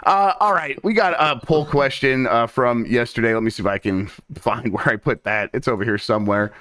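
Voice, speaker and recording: A man's voice: medium pitch, a little fast, dry recording, clean studio sound. A young man co-hosting a casual gaming news show, chatting in a rambling way with lots of fillers. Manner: animated, rambling, many fillers. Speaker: co-host. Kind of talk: casual podcast chat.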